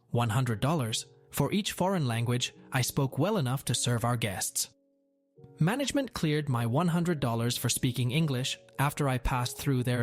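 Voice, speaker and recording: A man reads a first-person story in a steady, even way, his voice sitting at a middle pitch. Faint music plays quietly underneath the narration. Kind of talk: scripted narration of a reddit story.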